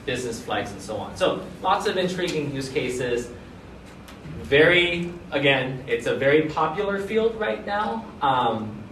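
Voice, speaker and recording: Visiting researcher, man, casual lecture style, frequent "right?", echoey room with faint noise behind. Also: medium pitch. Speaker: visiting researcher giving a seminar. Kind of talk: academic seminar talk. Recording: echoey room, mic at a distance.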